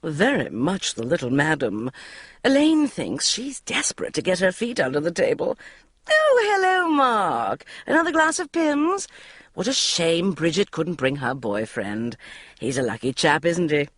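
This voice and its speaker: A woman voicing a nosy, pushy middle-aged family friend, talking loudly and breezily. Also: deep voice, sing-song, a little slow, no room sound, studio quality, heavily acted. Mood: pushy chirpy. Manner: loud, meddling and breezy.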